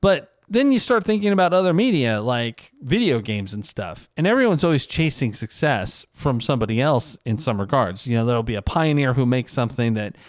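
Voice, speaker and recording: A man with a mid-pitched voice talks as a vlogger in a casual opinion monologue. His delivery is conversational and self-deprecating. The sound is dry, with very little room to it. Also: lively intonation, brisk, few pauses, dry recording.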